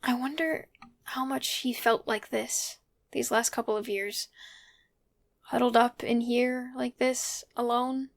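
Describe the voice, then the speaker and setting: Young woman, slightly high creaky voice, wry, pensive, conversational audio drama monologue. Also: steady tone, takes it slowly. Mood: weary, resolved. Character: wry, pensive young person alone in an isolated house. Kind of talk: audio drama monologue, casual reflective recording.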